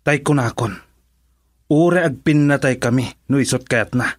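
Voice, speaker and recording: A man's voice: medium pitch, normal speed, no echo, lightly acted. A proud, defiant husband in an Ilocano radio drama, played by a man. His mid-range voice is recorded dry and close, with a challenging, stubborn manner. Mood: defiant, irritated.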